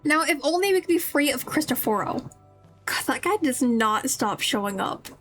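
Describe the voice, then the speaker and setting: High voiced girl streamer, chatty and teasing, casual game commentary, soft music behind. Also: lively intonation, normal speed.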